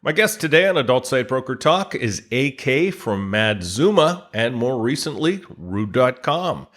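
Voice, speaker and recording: A man hosting an industry podcast, reading news items and a guest introduction from a script. His pitch sits in an ordinary middle range, and his delivery is steady. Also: measured pace. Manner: steady read-aloud delivery. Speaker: podcast host.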